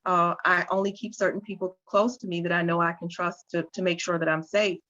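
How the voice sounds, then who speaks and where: Low-voiced woman on a webinar, candid, muffled lo-fi dry audio. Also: little pitch movement, brisk, bright, forceful tone, no echo. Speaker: webinar panelist, program facilitator. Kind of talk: online panel talk. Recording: dull lo-fi, dry sound.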